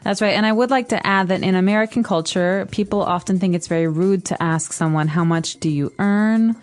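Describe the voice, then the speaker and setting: A woman's voice: mid-range voice, brisk. A woman from Chicago co-hosting a podcast lesson, explaining English expressions emphatically. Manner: clear, emphatic teacher. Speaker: podcast co-host.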